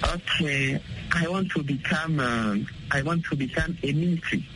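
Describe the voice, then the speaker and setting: A man of twenty-two with a Congolese French accent, speaking haltingly as a student practising conversation. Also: fairly high pitch, noisy background. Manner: hesitant, repeats words. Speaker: student guest.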